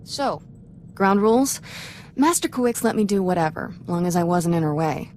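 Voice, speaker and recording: A woman's voice: on the low side, animated, moderate pace, a little mellow, slight background noise, lightly acted. A woman playing a brash, restless young apprentice in a video game, with a clipped, flippant, casual way of talking. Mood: brash, playful. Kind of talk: video game character acting.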